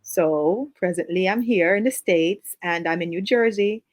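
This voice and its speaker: Chatty Jamaican woman, slightly low voice. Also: a little slow, no echo. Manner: chatty, laughs easily, trails into fillers. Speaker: guest. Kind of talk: casual interview conversation.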